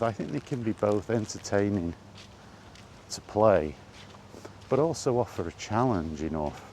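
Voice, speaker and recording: Male, deep voice, slow halting delivery, casual off-the-cuff chat, faint outdoor noise behind. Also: darkish tone. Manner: halting, musing, repeats phrases. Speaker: host of a gaming chat series. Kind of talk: casual rambling monologue about video games.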